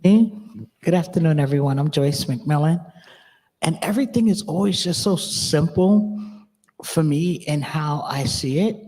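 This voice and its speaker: A woman panelist with a husky voice, making direct, emphatic, plain-spoken remarks into a handheld mic on an auditorium stage. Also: sits low, measured pace, warm tone. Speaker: panelist, activist. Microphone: handheld microphone. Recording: reverberant hall sound.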